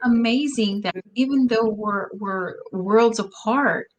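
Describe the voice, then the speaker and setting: A woman hosting a casual talk show, speaking in a thoughtful, halting way with many restarts. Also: a little high, a little hiss or hum, poor audio. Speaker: host. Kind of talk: casual conversation on a video podcast.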